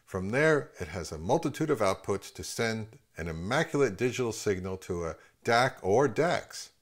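A man's voice: fairly low pitch, lively intonation, normal speed. A man in his fifties giving scripted commentary on products as the host of a review channel.

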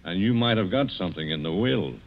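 Gruff police inspector on muffled old radio. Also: male voice, low voice, animated, fairly quick. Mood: goading. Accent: American. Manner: blunt, needling questioning. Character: gruff, skeptical male police inspector, impatient and goading. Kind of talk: 1940s radio drama acting. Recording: muffled vintage 1940s radio recording.

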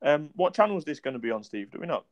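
A man's voice: on the high side, very animated, racing. A man, a co-host on a casual boxing podcast, who talks bluntly, swears freely and sounds dismissive.

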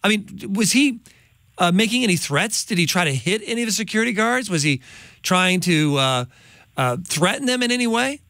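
A man's voice: high-pitched, lively intonation, normal speed, sharp tone, no room sound. A middle-aged man hosting a studio interview, asking emphatic, indignant questions. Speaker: host. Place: studio with large window. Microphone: studio microphone on boom.

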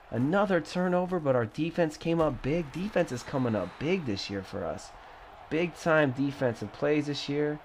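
A young man with a stuffy nose from a cold, giving casual, excitable commentary over his own football video game play. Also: medium pitch, slight background noise. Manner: excitable, trash-talking, frequent groans. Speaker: gaming YouTuber.

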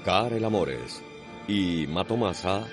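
A man's voice: low-pitched, expressive, slow. A man with a Cuban accent giving dramatic scripted narration as the narrator of a radio soap opera. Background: music.

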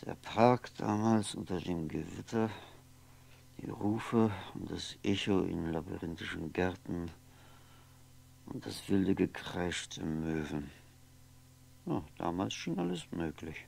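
An actor playing a lonely, brooding man in a radio drama monologue, musing haltingly to himself, wistful and wry, at times dropping to a whisper. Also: low voice, creaky, a little mellow, full character voice. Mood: dreamy, brooding. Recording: noisy 1960s radio recording, faint hum.

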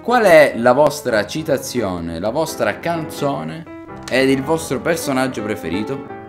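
A man's voice: medium pitch, expressive, a little slow. A man in his twenties joking around exuberantly as he co-hosts a casual vlog. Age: 20s. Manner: jokey, exuberant. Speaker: vlog co-host. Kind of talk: casual group chat. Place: small living room indoors. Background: music, acoustic guitar.

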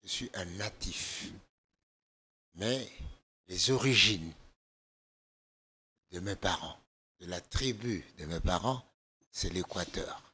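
An older man from Congo with a hoarse, gravelly voice, speaking as a political guest in a TV studio interview, measured and halting with many fillers. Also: sits low, pushed and bright. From Democratic Republic of the Congo. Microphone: desk microphone.

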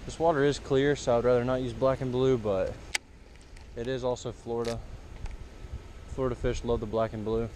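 A young man casually commenting on his bass fishing to viewers, excited and laughing, filmed on a head-mounted camera by a canal. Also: moderate pace, long pauses, background noise. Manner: excited, laughing, talks to viewers as boys and girls. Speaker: fishing vlogger. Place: grassy canal and pond bank outdoors. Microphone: head-mounted action camera.

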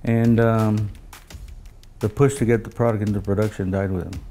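An older man with a low, gravelly voice reminisces in an interview. He tells his story casually and haltingly, often quoting conversations, with music behind him. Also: steady tone, warm tone. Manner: halting, anecdotal storytelling with quoted dialogue. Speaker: loudspeaker engineer interviewee. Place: living room of a home, indoors.

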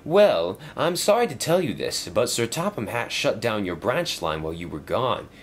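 Brief: oily storybook diesel engine villain, voiced by a man, sneering, lively swings. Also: fairly low pitch, normal speed, a little hiss or hum. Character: rude scheming male diesel engine.

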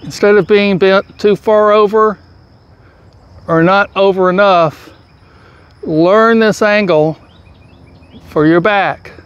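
A middle-aged man teaching golf posture outdoors, giving calm, patient, step-by-step instructions, recorded by a camera standing some way off. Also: sits high, slow, long pauses, smooth, lo-fi sound. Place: outdoors on a grassy field. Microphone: far camera, full-body framing. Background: crickets and insects outdoors.

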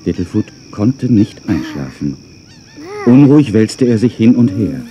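A man narrating a scripted children's audio drama as a calm storyteller. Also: lowish voice, measured pace, a little hiss or hum.

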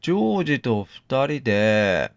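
A man's voice: medium pitch, big pitch swings, measured pace, creaky. A man doing casual game commentary, musing aloud to himself as he plays. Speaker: game streamer reading all parts aloud.

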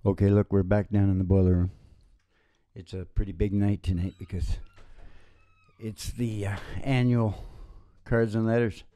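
An older man hosting a homemade show with informal, halting, joking banter into a stage microphone. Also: fairly low pitch, flat delivery, mellow, clear, resonant tone. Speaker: radio-style show host and country singer. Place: small dim wood-panelled room, indoors. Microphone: vocal microphone on a stand. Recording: dull lo-fi live recording with guitar.

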